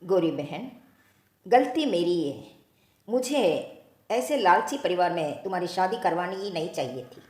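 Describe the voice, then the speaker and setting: A sympathetic female sparrow from a cartoon tale, voiced by a woman. She gives earnest advice in a lively, varied melody. The sound is clearly roomy. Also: fairly low pitch, unhurried. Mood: apologetic. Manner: apologetic, earnest. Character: sympathetic female sparrow friend, gently advising. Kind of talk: Hindi cartoon story character acting.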